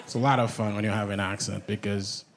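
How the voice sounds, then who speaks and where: A man's voice: fairly low pitch, quick pace. A young Haitian man whose first language is Haitian Creole, telling playful stand-up stories in a Haitian accent on a comedy club stage. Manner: animated, playful storytelling. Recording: live club with loud audience noise.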